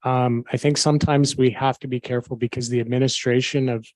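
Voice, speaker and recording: A man from public works answering council questions in a measured, bureaucratic way with many ums. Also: mid-range voice, little pitch movement, darkish tone, no echo. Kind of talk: staff answer at council meeting. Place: council chamber.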